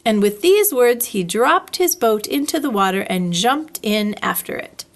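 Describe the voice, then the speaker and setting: A woman's voice: fairly high pitch, animated, measured pace. A woman reading a children's story aloud as a storyteller, expressively, drawing out words for effect. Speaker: library storyteller.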